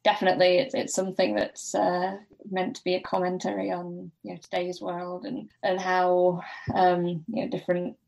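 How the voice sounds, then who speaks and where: A woman speaking as a podcast guest in casual discussion, hesitant and halting as she thinks aloud. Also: fairly low pitch, monotone, vocal fry, darkish tone, poor audio.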